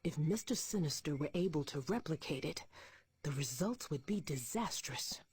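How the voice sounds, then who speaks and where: A man playing a grave, dignified mutant commander with a husky voice in a video game. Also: a little high, expressive, moderate pace, a little mellow, no echo. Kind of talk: video game cutscene acting.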